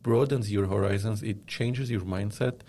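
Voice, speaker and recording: A man from Lebanon with a Lebanese accent, giving measured, earnest explanations as an interview guest. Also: lowish voice, mellow. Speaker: interviewed media company founder.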